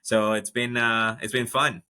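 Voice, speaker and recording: A man's voice: mid-range voice, level tone, a little slow, sharp tone, clear, resonant tone. A man from Ecuador, a guest telling his career story in a casual interview, with lots of fillers and restarts. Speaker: guest, HR executive.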